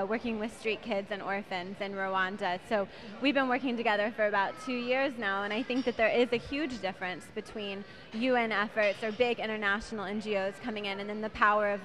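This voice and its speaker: A woman's voice: medium pitch, steady tone, a little fast, few pauses, a bit bright. A young American woman in her twenties, a guest on a talk show, speaking fluently and passionately about her charity work. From United States. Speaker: guest, charity executive director. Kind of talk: interview answers.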